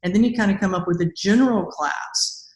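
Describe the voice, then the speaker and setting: A woman's voice: medium pitch, fairly quick. A middle-aged woman hosting a podcast interview in a conversational style, recorded over a video call in an echoey room. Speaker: podcast host, robotics academic. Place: indoor room with bare walls.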